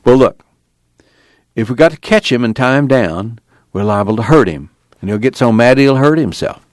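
A man voicing a level-headed young farm son with calm, practical, folksy rural speech. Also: medium pitch, moderate pace, no echo, studio quality, lightly acted.

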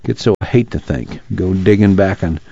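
A man's voice: low-pitched, moderate pace, creaky, warm tone, breathy edge, dry recording, heavily acted. A man voicing a brooding, defeated farm father with resigned, folksy speech in a dramatized reading. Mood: despairing. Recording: dull, muffled audio.

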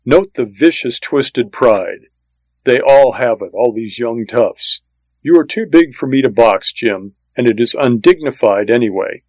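A man voicing a proud, indignant older gentleman in a western story, speaking formally and scornfully. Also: medium pitch, expressive, a little slow, no room sound, poor audio.

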